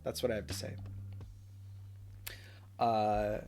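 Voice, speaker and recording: A man's voice: on the low side, level tone, takes it slowly, warm tone, faint music behind. A young man streaming, casually analyzing a chess game in a rambling way full of fillers and restarts, his throat strained from hours of talking. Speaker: streamer reviewing chess games.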